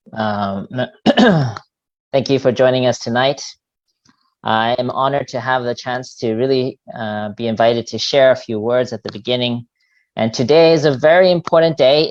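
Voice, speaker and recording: A middle-aged man from New York, born in Japan, giving earnest, halting opening remarks as a religious leader. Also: mid-range voice. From born in Japan, grew up in New York. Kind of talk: earnest informal speech to a congregation. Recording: dull, lo-fi sound.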